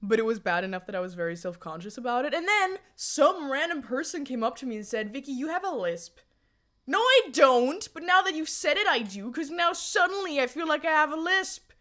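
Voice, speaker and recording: A woman's voice: high voice, a little fast. Giggly young woman chatting on stream. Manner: giggly, laughs often, jokey and animated. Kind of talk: casual chat, answering viewer chat. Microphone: USB condenser desk mic.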